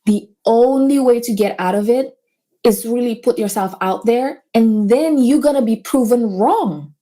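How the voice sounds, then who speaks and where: A woman's voice: fairly high pitch, a little slow, mellow. A woman business owner talking earnestly as a guest in a casual conversation, with small grammar slips. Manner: earnest, motivational, slightly non-native grammar. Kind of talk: casual interview chat.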